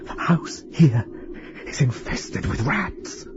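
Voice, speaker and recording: An actor playing a frightened, educated man in a radio horror drama, speaking formally and haltingly as he writes a letter. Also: mid-range voice, sing-song. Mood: oppressed, nervous. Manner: formal, measured, halting delivery with weighted pauses. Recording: dull, lo-fi, noisy radio drama recording.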